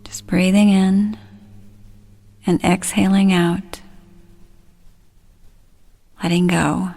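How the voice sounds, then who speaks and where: A woman leading a guided relaxation, speaking soothingly and gently in short, unhurried phrases. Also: mid-range voice, little pitch movement, vocal fry, faint music behind.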